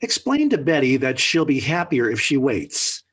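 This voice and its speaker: A man's voice: medium pitch, huge pitch swings, moderate pace, slightly rough. An actor playing an exasperated middle-aged American family father in a 1940s radio sitcom, with formal, wordy, dryly sarcastic delivery. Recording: vintage 1940s radio recording.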